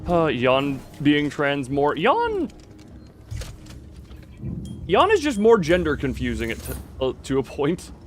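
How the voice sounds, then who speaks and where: A man livestreaming a game, chatting casually in a halting, rambling way as he thinks aloud. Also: fairly high pitch, wildly swooping pitch, faint music behind. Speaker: livestream host. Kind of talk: casual chat while playing a horror game live. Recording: clear background noise.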